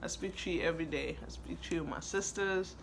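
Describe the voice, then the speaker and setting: A woman's voice: fairly low pitch, fairly quick, vocal fry, a little hiss or hum, rough recording. A woman from Ghana, chatting casually and laughing easily as she answers questions in a vlog. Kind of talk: casual chat.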